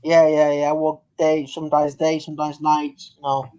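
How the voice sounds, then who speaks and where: A man's voice: fairly high pitch, completely flat delivery, moderate pace, dark tone. A thirty-year-old man chatting casually on an online group call, often repeating his phrases for emphasis. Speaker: participant in an online religious debate. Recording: dull, lo-fi online call audio.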